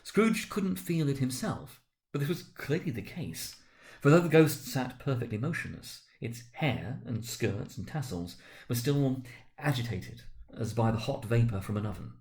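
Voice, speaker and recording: A man narrating a literary story as a dramatic reading, with theatrical, expressive delivery. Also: medium pitch, moderate pace.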